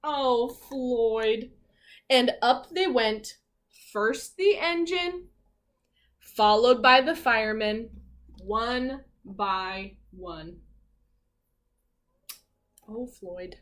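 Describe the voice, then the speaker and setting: A woman teacher reading a picture book aloud to children in an expressive, encouraging way, pausing to ask her listeners questions. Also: fairly high pitch.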